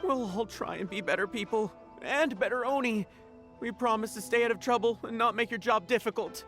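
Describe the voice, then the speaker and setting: A woman voicing an excitable, sentimental young gang underling in a video game, earnest and emotional. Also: fairly high pitch, brisk, faint music behind, close to an everyday voice. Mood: tearful, guilty.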